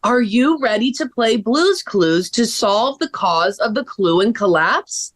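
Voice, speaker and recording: Eager young female sleuth played by a woman, high and swooping, expressive, for a children's mystery read. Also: measured pace. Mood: mysterious, measured. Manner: expressive, exclamatory.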